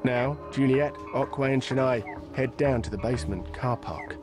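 A man reading audio description of a film in an even, neutral manner. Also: medium pitch, moderate pace, loud music behind the voice. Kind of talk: scripted narration.

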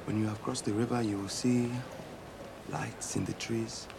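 An actor playing a weary male doctor from Nigeria in exile, speaking quietly and measured. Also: on the low side, fairly even intonation, creaky, background noise. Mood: tender. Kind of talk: film dialogue.

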